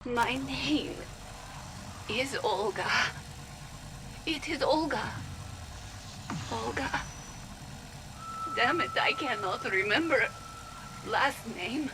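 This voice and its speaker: Female game role: failing camp prisoner, high cracked voice, halting and frightened, over noisy rumble. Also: animated. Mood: weak, confused. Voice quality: creaky, coughing, halting. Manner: halting, struggling to remember. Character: sick, confused woman labor-camp prisoner, frightened and failing. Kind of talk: dramatic audio log acting. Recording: noisy audio log with engine-like rumble.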